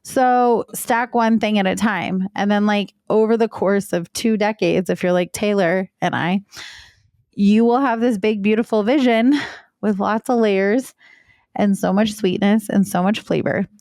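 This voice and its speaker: A woman co-hosting a business leadership podcast with a pitchy voice, chatty and playful. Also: unhurried, darkish tone, no echo, studio quality. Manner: playful, chatty, laughs easily. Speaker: podcast co-host. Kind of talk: casual podcast chat.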